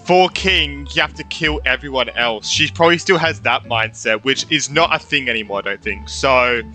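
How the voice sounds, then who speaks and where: A man's voice: mid-range voice, normal speed, bright, forceful tone. A man giving casual, reactive, informal commentary as he streams a video game. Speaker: gaming streamer. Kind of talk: casual let's play commentary. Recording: game music behind.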